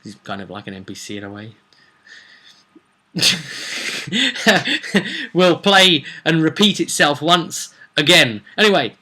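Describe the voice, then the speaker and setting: A man's voice: fairly high pitch, big pitch swings, slow, pauses often, sharp tone. A man chatting casually as a game master, recounting a tabletop roleplay session in a rambling, storytelling way. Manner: chatty, slips into acted quotes of characters.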